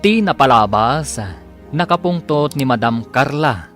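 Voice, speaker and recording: A man's voice: medium pitch, expressive, a little slow, a bit bright. A man with a Filipino accent delivering dramatic scripted narration for a radio drama. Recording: music under the voice. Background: music.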